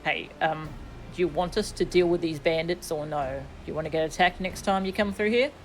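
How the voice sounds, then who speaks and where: A woman streamer chats casually as she plays, dropping wry little asides. Her voice sits slightly low, and game music plays softly behind her. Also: a little fast, low-quality recording. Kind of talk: live stream chat, reading game dialogue aloud.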